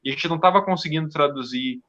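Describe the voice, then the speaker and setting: A man with a Brazilian accent chatting casually as an interview guest, in a halting way with repeated words. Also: a little high, over a noisy crowd. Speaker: podcast guest, audio drama creator. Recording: dull lo-fi microphone.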